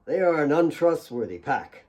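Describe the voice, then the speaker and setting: Man acting a hesitant, earnest young policeman, mellow. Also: a little high, big pitch swings, moderate pace. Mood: earnest report. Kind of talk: audiobook character voice.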